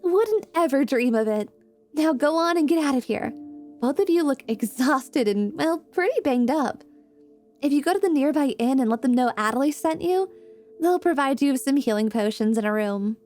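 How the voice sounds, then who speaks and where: Woman voicing a bubbly young female adventurer, high-pitched, eager, easily flustered, loud and cheerful, fantasy roleplay, faint music. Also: lively intonation, moderate pace. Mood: breezy, friendly. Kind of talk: fantasy ASMR roleplay acting.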